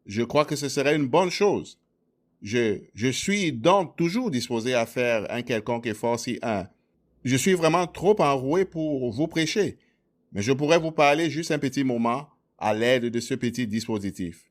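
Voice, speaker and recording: A man's voice: mid-range voice, animated, unhurried. Man voicing over a sermon. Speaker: interpreter.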